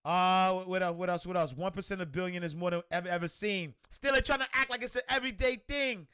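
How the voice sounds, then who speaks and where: A man with a New York accent hosting a livestream, loudly and energetically answering viewer comments in casual chat. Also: high-pitched, fast, clean tone. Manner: loud and energetic, reads comments aloud. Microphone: desk microphone.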